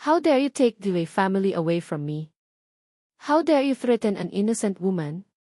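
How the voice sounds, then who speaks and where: A woman's voice: mid-range voice, sing-song, normal speed, mellow, no echo, studio quality, close to an everyday voice. A woman voicing an anguished, defiant rich young man in an audio drama story. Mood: angry, hurt.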